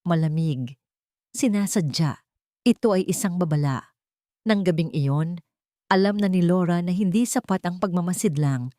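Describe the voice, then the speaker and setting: A woman's voice: fairly low pitch, moderate pace, long pauses, mellow, no echo, studio quality. A woman with a Filipino accent narrating a dramatic story from a script. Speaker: audiobook narrator.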